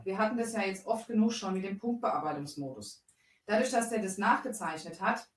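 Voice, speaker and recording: A woman in her thirties, from Bavaria, chatting her way through a live software tutorial from a craft room at home. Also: medium pitch. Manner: chatty, explains steps while working. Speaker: crafting livestream host. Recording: roomy echo, voice a bit far off.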